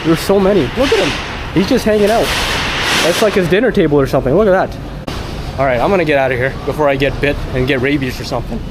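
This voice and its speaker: A man's voice: fairly high pitch, fast talker, background noise. Upbeat Canadian man vlogging outdoors. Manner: upbeat, chatty, addresses viewers as guys. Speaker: travel vlogger. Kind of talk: handheld travel vlog narration. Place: outdoors in a busy city street and market.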